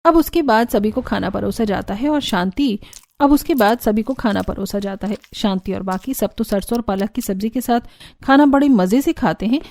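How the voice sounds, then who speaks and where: A woman's voice: mid-range voice, moderate pace, a little mellow, no room sound. A woman narrating a scripted cartoon story as the storyteller.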